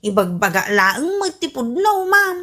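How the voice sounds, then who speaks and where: A woman's voice: high-pitched, very animated, unhurried, bright, forceful tone, exaggerated voice. A woman acting a cheeky housemaid in an Ilocano radio comedy-drama, talking back with mock deference in an Ilocano Filipino accent. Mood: sassy, mock-polite.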